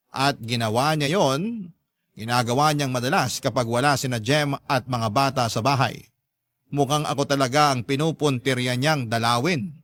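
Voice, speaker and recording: A man's voice: mid-range voice, fairly bright tone, no room sound, close to an everyday voice. A man narrating in the first person as an earnest, devoted stepfather, sincere and confiding, in a dramatized love story. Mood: troubled.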